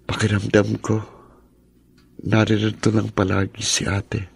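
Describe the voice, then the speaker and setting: Filipino radio drama: ailing, grieving teen boy, gentle, resigned, creaky delivery. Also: lowish voice, monotone, measured pace, no echo, exaggerated voice. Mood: sad, resigned. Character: sick teenage boy, grieving his sister, gentle, resigned.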